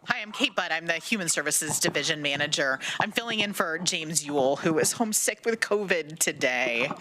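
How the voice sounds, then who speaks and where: A woman's voice: sits low, animated, normal speed, heavy background noise. A woman manager introducing herself and reminding the room to use the microphones. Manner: practical, instructive. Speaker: county division manager.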